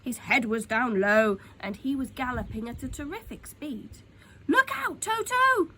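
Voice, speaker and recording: A woman reading a children's story aloud in an expressive, dramatic way, dropping into hushed tones for suspense. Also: on the high side, moderate pace. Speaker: storytime reader. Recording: clear background noise.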